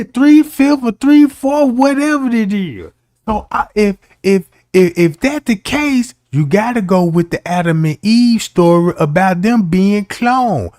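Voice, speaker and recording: Give me a man streaming a slow, slangy commentary with a high voice. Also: darkish tone, no echo, clean studio sound. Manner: slangy, conversational, argumentative. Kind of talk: casual commentary rant.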